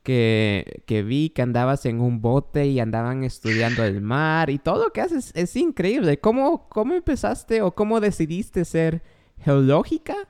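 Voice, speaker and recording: A man's voice: fairly high pitch, very animated, unhurried, a little mellow, clear, resonant tone. A man with a Guatemalan accent hosting his podcast, enthusiastic and rambling as he asks questions. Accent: Guatemalan Spanish. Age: adult, father of a young child. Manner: enthusiastic, rambling, self-described joker. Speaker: podcast host. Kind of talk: casual podcast interview.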